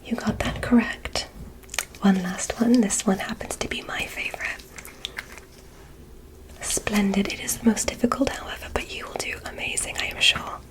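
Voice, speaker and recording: A woman playing a gentle, curious alien examiner in a whispered ASMR roleplay, giving soothing, encouraging instructions. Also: mid-range voice, fairly even intonation, moderate pace, lightly acted, poor audio. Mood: soft, encouraging. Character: gentle, curious female alien examiner visiting from another planet.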